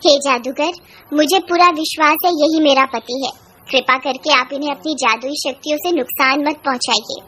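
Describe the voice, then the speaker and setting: A woman's voice: sits high, moderate pace, pushed and bright, slight background noise. A woman voicing a distressed, devoted young village wife in a horror story, pleading and melodramatic.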